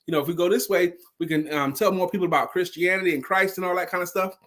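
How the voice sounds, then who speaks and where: Higher-pitched man telling a story informally. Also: fast talker, a bit bright. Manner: conversational, emphatic, repeats key phrases for effect; acts out dialogue in his own retelling. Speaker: storyteller and sales speaker.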